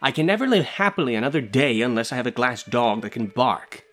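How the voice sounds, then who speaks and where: A spoiled, flighty rich young lady, as a male storyteller puts her on gives orders in a raised, pitched-up voice. Her words are insistent and full of demands. Soft music sits behind the storybook reading. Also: very animated, a little fast. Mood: imperious.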